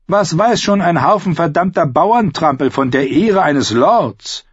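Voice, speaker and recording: A man's voice: on the high side, lively intonation, measured pace, slightly rough, no room sound, highs cut off, pitched above the performer's own voice. A man voicing a big, coarse outlaw in an audiobook, brusque and contemptuous. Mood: scornful. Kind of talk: audiobook character voice.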